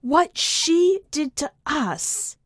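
A woman's voice: high-pitched, very animated, extremely slow, dry recording, studio quality, voice pushed up, full character voice. A woman narrator voicing a bitter, traumatized woman bent on revenge, taunting and emotionally raw, in an audio short story. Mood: defiant, taunting. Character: traumatized, vengeful, defiant woman, bitter and daring.

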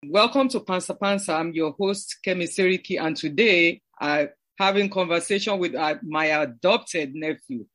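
A man's voice: high-pitched, moderate pace, hardly any pauses, a bit bright. A male podcast host welcoming and questioning his guest effusively. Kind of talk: conversational interview.

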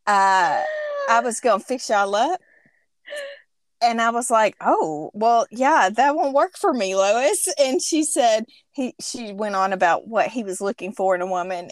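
A woman's voice: medium pitch, a little slow, no room sound, clean studio sound. A woman in her thirties hosting a podcast, telling a rambling, chatty story. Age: 30s. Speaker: podcast host. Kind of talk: casual chat.

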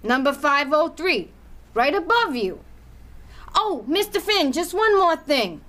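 Sweet nosy old lady neighbor, high voice, unhurried, chatty radio drama. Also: very animated, a bit bright, exaggerated voice. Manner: polite, fussy, chatty. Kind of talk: audio drama acting.

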